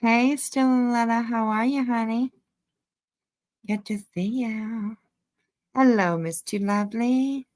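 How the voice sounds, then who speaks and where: A woman's voice: a little high, takes it slowly, long pauses, darkish tone. A woman hosting a livestream, chatting and commenting casually in a hesitant, rambling way. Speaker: livestream host.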